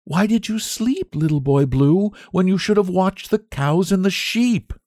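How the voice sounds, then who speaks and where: A man playing a kind, tender young gentlewoman in a storybook, speaking in a gentle, comforting manner. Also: a little high, very animated, moderate pace, no echo, studio quality, pitched above the performer's own voice. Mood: gentle concern.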